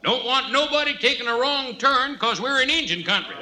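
Very high-voiced wagon master, crowd behind. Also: male voice, animated, brisk. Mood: urgent, commanding. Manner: calling out orders. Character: shouting, high-voiced wagon train leader. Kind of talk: 1950s radio comedy acting. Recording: old radio recording with crowd noise.

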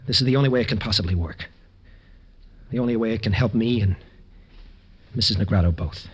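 An actor playing an earnest, resigned young American convict, pleading urgently in 1950s radio drama style. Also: male voice, on the low side, a little fast, husky. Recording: vintage 1950s radio recording.

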